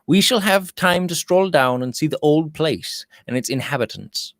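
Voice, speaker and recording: A man voicing an easygoing, friendly young English gentleman in a storybook reading. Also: a little high, expressive, normal speed, dry recording, clean studio sound, pitched above the performer's own voice. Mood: breezy, casual.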